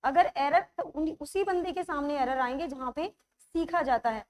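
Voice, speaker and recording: A woman teacher with a high voice leads an online grammar class, her throat sore. She keeps coaxing the class with quick check-ins and slows right down to spell out examples. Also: a little mellow. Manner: coaxing, checks understanding often; writes examples while speaking, slows to dictate them.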